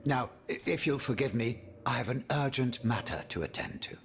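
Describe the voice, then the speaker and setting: A man's voice: medium pitch, expressive, moderate pace, creaky, a bit bright. An actor playing a pompous, silky statesman and schemer in a video game, theatrical, florid and courtly. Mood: suave, wry. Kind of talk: video game cutscene acting.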